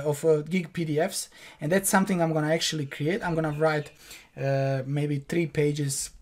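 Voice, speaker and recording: A man's voice: a little high, darkish tone. A young man from Croatia with a Croatian accent, walking through a screen-share tutorial in a casual, filler-heavy way from his home office. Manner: casual, many fillers and restarts. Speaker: online course instructor.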